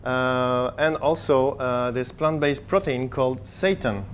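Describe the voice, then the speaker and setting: A man working as head chef, demonstrating a recipe in a kitchen and calmly explaining each step. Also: mid-range voice, a little slow, slight background noise. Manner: relaxed, explains steps simply. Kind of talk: cooking demonstration.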